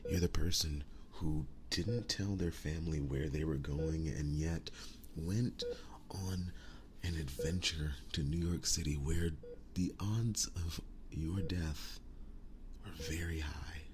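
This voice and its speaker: A man acts out a wounded werewolf boyfriend in an ASMR roleplay, devoted and earnest. His low voice moves slowly and haltingly, often slipping into breathy, tender whispers. There is clear noisy ambience behind him. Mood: admiring, tender. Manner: slow, halting, soft-spoken reassurance. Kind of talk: intimate ASMR roleplay monologue.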